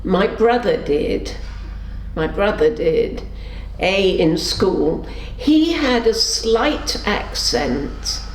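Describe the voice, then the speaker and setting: Slow-spoken older woman telling her story. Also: animated. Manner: storytelling, acts out quotes with pitch shifts. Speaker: interviewee. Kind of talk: oral history interview. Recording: roomy sound with faint background noise.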